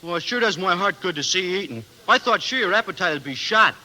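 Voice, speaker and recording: An actor playing a good-natured, slow-witted teenage school athlete in a 1950s radio sitcom, eager and mangling big words. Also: male voice, high voice, expressive, bright, forceful tone, full character voice. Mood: well-meaning. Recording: noisy, crackly old radio recording.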